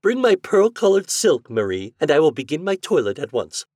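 A pampered, selfish young heiress who snaps out orders in a high, shrill and husky voice, as a male narrator puts her on. She sounds bossy and impatient, and the recording is close and dry with no room sound. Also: big pitch swings, moderate pace, no room sound. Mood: imperious. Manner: demanding, high-pitched and loud. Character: spoiled rich young woman, imperious and selfish. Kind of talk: storybook reading.

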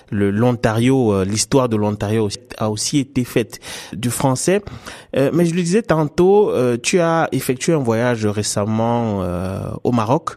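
A man's voice: mid-range voice, darkish tone. A man with an ordinary mid-range voice hosts a radio interview from the studio. He keeps stopping to restart his sentences and fills the gaps with hesitations. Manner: hesitant, many fillers and restarts. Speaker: radio host.

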